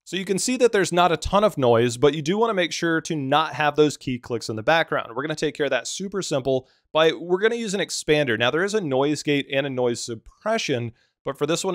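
Male tutorial host, deep voice, slightly raised pitch, plain practical delivery, dry close-mic sound. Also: no room sound. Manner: relaxed, practical explaining. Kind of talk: screen-recorded software tutorial.

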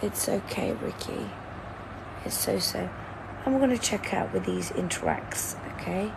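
Hoarse, sleepless woman chatting from bed. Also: mid-range voice, measured pace. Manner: lying in bed, talking to a phone camera, reading out chat. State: hoarse, lost voice; sleep-deprived. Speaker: livestreamer. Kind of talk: casual livestream chat with viewers. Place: bedroom, indoors, in bed. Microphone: phone at arm's length. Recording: fan noise in the background.

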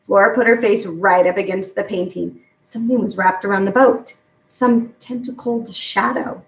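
A middle-aged woman narrates a children's book with plenty of creak in her voice. She is recorded some way from the mic in a roomy, echoing space. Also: medium pitch, steady tone, normal speed. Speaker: storyteller reading a children's novel aloud. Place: home garage, indoors. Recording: echoey room, distant mic.

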